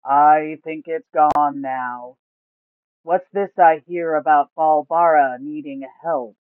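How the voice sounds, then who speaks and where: A woman voicing a giant old male turtle, friendly, who speaks slowly in drawn-out words. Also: low voice, dry recording, phone-quality sound. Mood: slow, concerned. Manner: slow, drawn-out speech.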